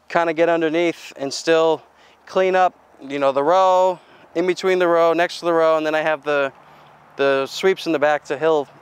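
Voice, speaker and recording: A young farmer with a very crisp, smooth voice, a bit higher than most men's, recorded dry on a lapel mic outdoors. He talks plainly and haltingly, with fillers and restarts. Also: no room sound. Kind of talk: casual equipment walkthrough. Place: outdoors in a farmyard.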